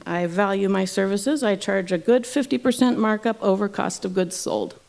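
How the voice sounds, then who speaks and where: A woman's voice: medium pitch, normal speed, creaky, darkish tone, lightly acted. A woman voicing a precocious eight-year-old boy bear, matter-of-fact and a little pedantic, in a told fairy tale. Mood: earnest, confident.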